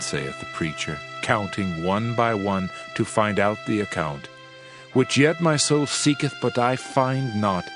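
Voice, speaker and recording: A man playing a solemn, world-weary preacher in a dramatized scripture reading, with measured, archaic oratory. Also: fairly low pitch. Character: male preacher, solemn world-weary sage pondering wisdom and vanity. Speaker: narrator of a dramatized audio Bible. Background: music.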